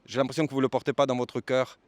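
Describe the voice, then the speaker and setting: A man's voice: mid-range voice, flat delivery, very fast, no echo. A man working as a street interviewer, asking questions politely and formally and giving his commentary. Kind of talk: vox pop interviewing and commentary.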